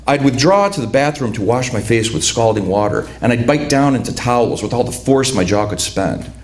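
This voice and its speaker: A man with a fairly low voice reads from his own book at a literary evening. He talks reflectively, with hesitations and repeated words, and Lithuanian is his first language. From United States, raised among Lithuanian migrants. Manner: reflective, halting with repeated restarts. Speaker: author. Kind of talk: reading his prose at a literary event.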